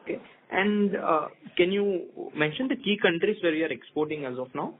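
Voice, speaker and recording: A man's voice: high-pitched, normal speed. Tinny phone-line male analyst. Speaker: analyst asking questions. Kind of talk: earnings call questioning. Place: phone call into conference. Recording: narrowband phone line, faint noise.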